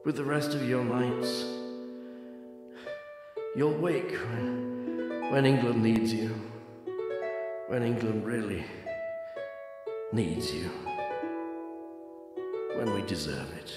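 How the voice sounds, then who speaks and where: Slow, echoing man acting a nervous researcher. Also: mid-range voice. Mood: weary, resigned. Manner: hesitant, repeating words, swearing. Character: male geology researcher, bitter, nervous, halting. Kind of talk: radio drama acting. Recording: very echoey cavern reverb with music.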